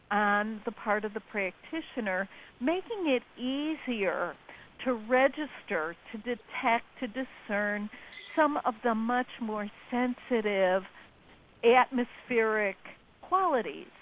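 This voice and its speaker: A woman author speaking as a guest on a talk show, measured, drawing out words for emphasis. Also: fairly high pitch. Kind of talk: casual radio chat. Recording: tinny phone line with faint hiss.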